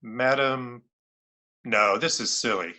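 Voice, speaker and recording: A man acting as an exasperated city cop in an old radio play. His voice is mid-pitched with a hard, forceful edge, and his delivery is wry and disbelieving. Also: slow pace. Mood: incredulous. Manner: incredulous, wry. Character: gruff, exasperated New York police lieutenant.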